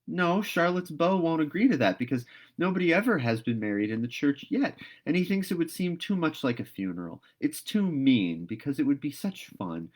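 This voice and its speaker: A man voicing a young girl in a storybook, lively and teasing as a friend with news to share. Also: on the high side, normal speed, pitched above the performer's own voice. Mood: matter-of-fact, teasing.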